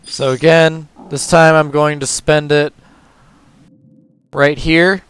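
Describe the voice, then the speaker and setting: Male gaming host, hoarse and tired, unhurried walkthrough commentary, smooth clear tone. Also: fairly high pitch. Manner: relaxed, talks through moves step by step. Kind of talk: casual gameplay commentary.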